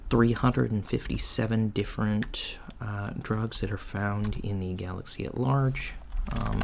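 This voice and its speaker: A man playing a mild, matter-of-fact alien floor manager in a soft-spoken, hesitant ASMR job interview roleplay. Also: gravelly. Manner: hesitant, low-key, procedural, murmured acknowledgements. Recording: lo-fi with faint background noise. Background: computer keyboard typing and clicks.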